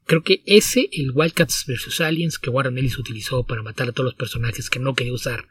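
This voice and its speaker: Male podcast co-host, husky tone, mic at some distance, dry relaxed delivery. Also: medium pitch, fairly quick. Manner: relaxed, wry, reads listener questions aloud. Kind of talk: casual comics chat.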